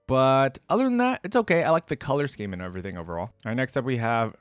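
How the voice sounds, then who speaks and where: A man hosting a reaction video in a casual, informal way. His pitch moves around in a lively, animated way as he shares opinions and then softens them. The sound is dry and close. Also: fast talker, no room sound, faint music behind.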